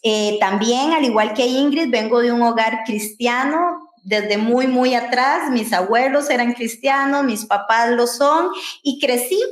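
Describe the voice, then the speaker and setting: A Costa Rican woman hosting a podcast, speaking in a lively, upbeat way straight to her listeners. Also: fairly high pitch, a little slow. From Costa Rica. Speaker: podcast host. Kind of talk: casual podcast chat. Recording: roomy echo.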